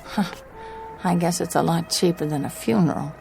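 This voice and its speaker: Nervous young woman in a radio drama, polite but spooked, halting, crackly fry in her voice, music behind. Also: on the low side. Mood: polite, distracted. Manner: hesitant, uneasy, polite. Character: anxious, haunted young woman driving cross-country alone.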